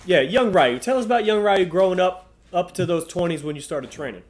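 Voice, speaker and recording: A man hosting a podcast, asking casual questions in a relaxed way with frequent fillers. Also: high voice, a little fast. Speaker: podcast host. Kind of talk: casual interview chat.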